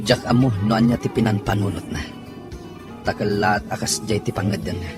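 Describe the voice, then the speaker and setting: A man's voice: lowish voice, fairly even intonation, brisk, music in the background, close to an everyday voice. A man acting a troubled father and master of the house in a melodramatic audio drama.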